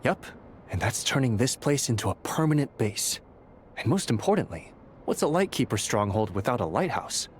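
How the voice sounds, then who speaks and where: A man's voice: medium pitch, very animated, moderate pace, slight background noise, close to an everyday voice. A man voicing an earnest, dutiful young squad leader in a video game, explaining things in a friendly way. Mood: serious. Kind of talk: English video game character acting. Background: background music.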